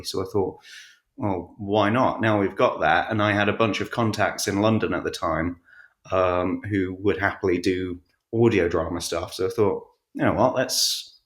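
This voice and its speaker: A man telling stories casually as a podcast guest, in a halting, rambling way. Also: lowish voice, fairly bright tone. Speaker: podcast guest, audiobook narrator. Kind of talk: casual conversational storytelling. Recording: roomy, echoey sound.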